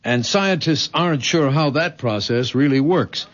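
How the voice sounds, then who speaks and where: An actor playing an earnest, protective young fiancé in a radio drama, speaking carefully and patiently. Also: male voice, on the high side, measured pace, lightly acted. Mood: earnest, explaining. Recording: muffled vintage radio drama recording.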